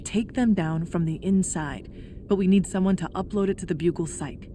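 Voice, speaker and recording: A woman's voice: on the low side, normal speed, mellow, slight background noise, natural voice. A young woman playing a determined, caring corporate insider in a video game, earnest and reassuring. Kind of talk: video game cutscene acting.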